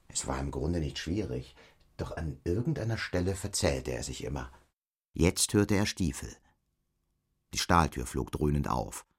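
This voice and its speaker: A man's voice: extremely low pitch, husky. A man reading scripted literary narration as the narrator of a German radio play.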